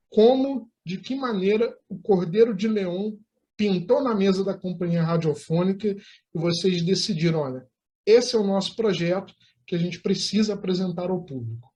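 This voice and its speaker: A Brazilian man hosting an interview, speaking formally in measured, wordy, articulate phrases. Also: sits high, warm tone. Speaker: interview host.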